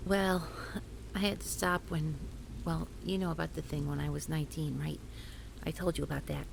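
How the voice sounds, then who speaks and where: Jittery young woman who talks before she thinks, low creaky voice, done by a woman. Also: little pitch movement, moderate pace, background noise. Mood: flustered. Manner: flustered, rambling, self-correcting. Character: nervous, rambling young woman student. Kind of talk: scripted audio roleplay.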